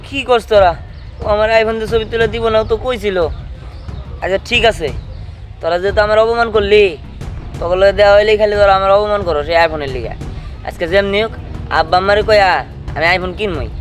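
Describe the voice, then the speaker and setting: A man's voice: high voice, fairly quick, long pauses, soft background music, lightly acted. A man voicing a stubborn, whiny boy from a poor family in a cartoon comedy, with a Bangladeshi accent, pleading and demanding. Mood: hurt, defiant. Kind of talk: cartoon comedy drama dialogue.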